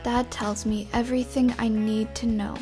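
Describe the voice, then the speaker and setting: A woman's voice: a little high, level tone, normal speed, over music, close to an everyday voice. A woman playing a tough, precocious, foul-mouthed young vigilante girl in a comic dub, blunt and cocky. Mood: proud narration. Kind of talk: comic book dub acting.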